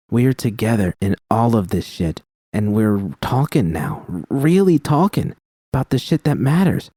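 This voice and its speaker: A man voicing a calm, gentle young woman who soothes her partner in a soft, coaxing way. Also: fairly low pitch, no room sound, clean studio sound. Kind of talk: fan audiobook reading.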